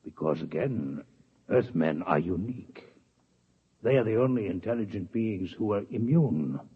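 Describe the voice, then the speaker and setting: A man playing a calm, formal male alien doctor from another planet in a 1950s radio drama, speaking with precise, unhurried diction. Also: lowish voice, slightly rough, exaggerated voice. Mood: measured. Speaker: visiting alien scientist. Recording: dull lo-fi 1950s radio broadcast.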